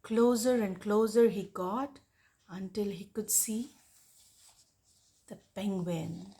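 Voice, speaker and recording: A girl of about ten reading a picture book aloud in a deliberate, expressive storytelling manner. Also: mid-range voice. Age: child, about 10.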